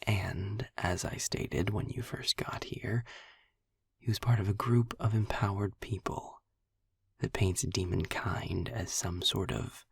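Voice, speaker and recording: Man voicing a husky, whispering sardonic demon. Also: dry recording, clean studio sound, full character voice. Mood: wry, measured. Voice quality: low, breathy, gravelly near-whisper. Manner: sarcastic, wordy, deliberate storytelling with dramatic pauses. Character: sardonic empathic male demon, unhurried and darkly amused. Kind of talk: intimate scripted audio roleplay. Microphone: close microphone in a dry, quiet space.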